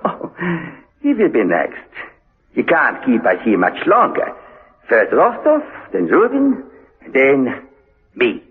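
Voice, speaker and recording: An actor playing a bitter, resolute prisoner in a radio drama, speaking in a deliberate, theatrical, taunting way. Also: male voice, medium pitch, huge pitch swings. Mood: gloating, taunting. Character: bitter, resolute male prisoner, menacing ringleader, slyly taunting. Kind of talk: 1960s-spy radio drama acting. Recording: muffled, lo-fi radio recording.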